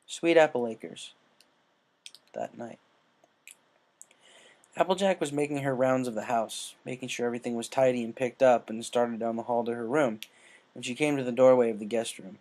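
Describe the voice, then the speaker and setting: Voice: mid-range voice, normal speed, pauses often, a little mellow. A narrator reading fan fiction aloud as scripted storytelling. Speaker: story reader.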